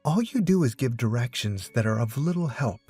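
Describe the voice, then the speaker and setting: A man's voice: medium pitch, expressive, a little fast, mellow, breathy edge, dry recording, faint music behind. A man voicing a grumbling, wary teenage schoolboy in an audiobook, with a complaining manner. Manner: complaining, grudging. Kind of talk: audiobook character dialogue.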